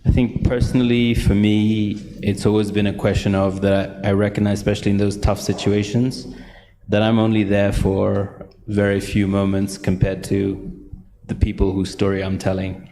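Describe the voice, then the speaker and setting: A man's voice: on the low side, flat delivery, normal speed, dark tone. A man answering reflectively as a photojournalist on a discussion panel. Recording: reverberant hall, faint background noise.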